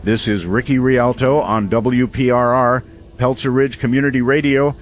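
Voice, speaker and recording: An actor playing a community radio host during an emergency in an audio drama, measured, earnest and reassuring. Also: male voice, medium pitch, steady tone, close to an everyday voice. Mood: reassuring, urgent. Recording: dull lo-fi radio sound, faint noise.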